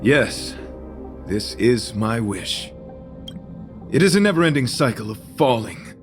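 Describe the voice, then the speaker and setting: A man's voice: mid-range voice, expressive, slow. A man playing an earnest, courtly knight devoted to beauty in an English game dub, speaking in a formal, florid, theatrical and solemn way. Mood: fervent resolve. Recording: music behind.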